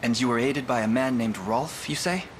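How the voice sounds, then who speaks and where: Man as an earnest prince, medium-pitched voice. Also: moderate pace, close to an everyday voice. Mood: courteous, determined. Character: earnest, resolute young male prince and leader. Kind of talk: formal fantasy video game acting. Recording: game music clearly behind.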